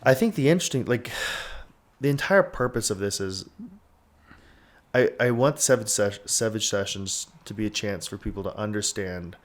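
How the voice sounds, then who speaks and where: A man in his twenties with a low voice speaks frankly on a podcast. He rambles, leans on "like" and swears without pause. His talk is loose and unpolished. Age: 20s. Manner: rambling, frequent "like", swears freely. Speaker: podcast host. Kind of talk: candid casual podcast talk.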